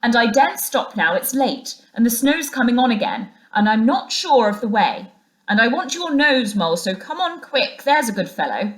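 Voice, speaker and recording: A woman voicing a cheerful male water rat in a storybook, brisk and kindly with chummy old-fashioned phrasing. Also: fairly high pitch, very animated, roomy sound. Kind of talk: storybook character voice.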